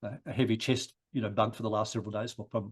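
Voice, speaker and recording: A man giving a rambling thank-you speech for a society, his voice croaky from a chest cold. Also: fairly low pitch, little pitch movement, fast. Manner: rambling, many restarts and repeated words.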